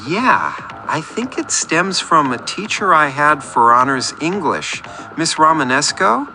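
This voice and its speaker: An earnest, lonely male oddball overshares in rambling detail, played by a man for a radio comedy. His mid-pitched voice is bright and forceful, swinging widely in pitch, with music behind it. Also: sing-song, measured pace, full character voice, rough recording. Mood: wistful. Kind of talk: scripted radio comedy monologue.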